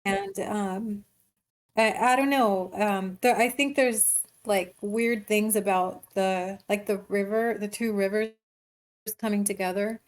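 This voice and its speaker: Woman screenwriter chatting in an online meeting, kinda halting, feels her way through. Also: medium pitch, fairly even intonation. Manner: hesitant, informal, halting explanations. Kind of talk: casual discussion in online table read.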